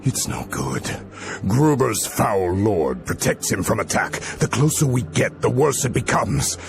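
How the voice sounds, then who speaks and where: A man's voice: mid-range voice, animated, a little slow, gravelly. A man playing a grave, pious army commander in a fantasy video game, solemn and commanding. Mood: grave, resolved. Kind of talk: video game cutscene acting.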